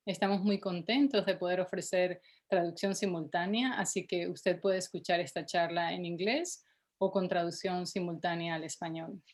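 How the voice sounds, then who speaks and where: A woman from Venezuela with a Venezuelan accent, a nonprofit director hosting a webinar and moving between Spanish and English with frequent fillers. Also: lowish voice. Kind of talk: bilingual webinar introduction.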